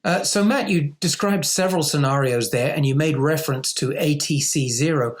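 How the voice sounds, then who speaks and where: A man's voice: a little high, moderate pace. A man hosting an interview, questioning and recapping conversationally, often repeating words as he hesitates. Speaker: interviewer, host.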